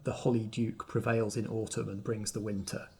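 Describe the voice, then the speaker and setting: A man's voice: fairly low pitch, little pitch movement, normal speed, warm tone, slightly rough. A man acting as game master, narrating a tabletop game with descriptive, storytelling phrasing.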